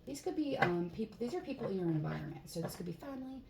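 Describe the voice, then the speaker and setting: Hoarse, gravelly woman reading tarot cards. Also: medium pitch, fast talker. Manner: chatty, relaxed, many fillers. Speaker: tarot card reader. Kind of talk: casual spoken card reading. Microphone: phone. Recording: echoey room, faint background hiss.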